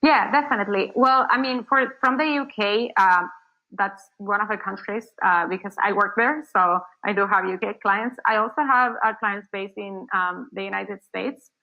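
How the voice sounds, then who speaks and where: A woman's voice: medium pitch, moderate pace, lo-fi sound. A woman from Argentina, not a native English speaker, giving casual interview answers as an agency founder, rambling with many fillers and restarts. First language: Spanish.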